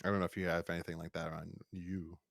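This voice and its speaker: American male podcast host, on the low side, chatty and stumbling over restarts, recorded dry. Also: level tone, fast, vocal fry, no echo. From United States. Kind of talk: casual review podcast chat.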